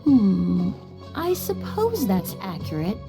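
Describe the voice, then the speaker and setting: An actress playing a polite, composed young woman perfumer in an anime-style video game, speaking in a courteous, measured, explanatory way. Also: mid-range voice, huge pitch swings, gravelly, heavily acted. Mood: calmly explaining. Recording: faint music behind the voice.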